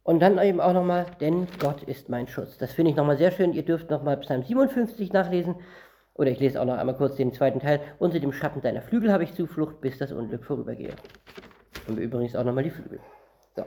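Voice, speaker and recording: A man's voice: fairly high pitch, lively intonation, quick pace, dark tone. A man from northern Germany preaching a Bible-teaching sermon, reading verses aloud and explaining them word by word in a conversational way.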